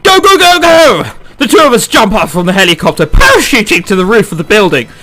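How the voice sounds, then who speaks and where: A man commentating on a game and reading its text aloud in a casual, joking and animated way. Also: high voice, quick pace. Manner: animated, joking, frequent swearing and exclamations. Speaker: let's play host.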